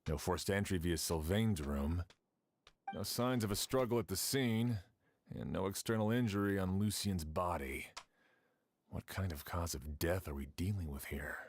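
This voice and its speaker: A stern game investigator. His voice is very deep, and he questions methodically and in a businesslike way over faint noise. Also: moderate pace. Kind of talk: video game voice acting.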